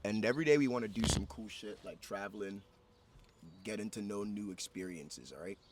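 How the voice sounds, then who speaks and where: A man from Ghana hosting a casual interview for his vlog, excitable and profane, often cutting in. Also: lowish voice, brisk. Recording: distant mic with background noise.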